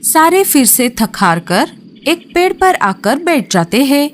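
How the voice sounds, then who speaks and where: Slow, animated woman storyteller. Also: high-pitched. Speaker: narrator. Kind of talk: scripted children's horror storytelling. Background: music.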